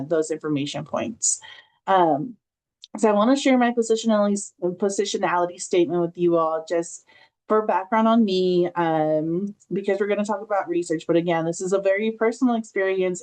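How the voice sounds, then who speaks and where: An American woman presenting an informal online training, speaking in a halting, reflective, conversational way with personal anecdotes. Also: lowish voice, a little mellow, low-quality recording. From Southern California, United States. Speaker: family engagement specialist presenting a training session.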